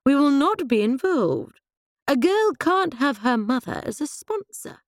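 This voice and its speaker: An imperious upper-class English mother, as a woman puts her on, commanding and dismissive. She is pitched well above the narrator's voice and comes out louder and crisp, with the intonation swinging widely. The sound is dry and close-miked. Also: sing-song, unhurried, dry recording, full character voice. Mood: snappish.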